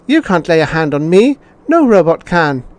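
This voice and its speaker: A man voicing a spoiled, defiant twelve-year-old boy who talks back loudly. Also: a little high, very animated, normal speed, voice pushed up. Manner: shouting defiance.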